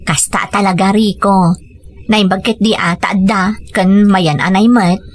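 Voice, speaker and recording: A woman's voice: medium pitch, steady tone, unhurried, natural voice. A woman playing a patient, caring young wife and mother with a Filipino Ilocano accent, in radio drama dialogue and narration. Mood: gentle, reassuring.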